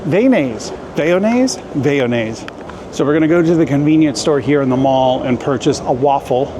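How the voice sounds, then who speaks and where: A playful, jokey man talks casually as a travel vlogger on a handheld camera. His voice sits a touch high, and clear street noise runs under it outdoors. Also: expressive, moderate pace. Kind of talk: casual vlog narration. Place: outdoors in a town and in shops.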